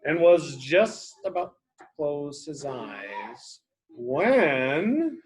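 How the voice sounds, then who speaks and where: A woman reading a picture book aloud to a child as a teacher, expressive, coaxing and explanatory. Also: sits low, takes it slowly, a crowd in the background. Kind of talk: children's story read-aloud with questions.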